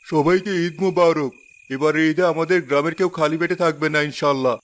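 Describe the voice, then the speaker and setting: A man voicing a kind village leader in a cartoon, speaking in a calm and measured way. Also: a little high, close to an everyday voice. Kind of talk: cartoon voice acting.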